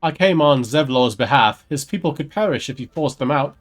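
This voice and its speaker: A man's voice: medium pitch, lively intonation, a little fast, faint music behind, close to an everyday voice. A man voicing a grave, brooding adventurer. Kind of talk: dramatic read of game dialogue.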